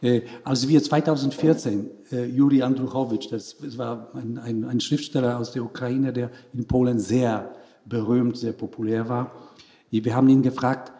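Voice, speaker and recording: A man from Poland with a Polish accent, speaking haltingly as a panel guest and searching for words while telling anecdotes. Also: medium pitch, fairly even intonation, warm tone.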